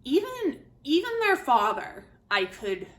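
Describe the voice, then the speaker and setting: A woman giving a casual, chatty spoken book review, retelling and commenting in an animated way. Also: high voice, a little slow. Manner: animated, chatty, acts out quotes informally. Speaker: book reviewer, host of a literary video series.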